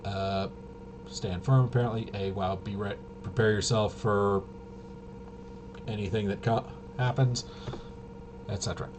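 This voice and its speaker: Low-voiced man, halting, over background noise. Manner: halting, trails off and self-corrects. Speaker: host. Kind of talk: casual rambling tabletop game rules walkthrough. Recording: clear steady background noise.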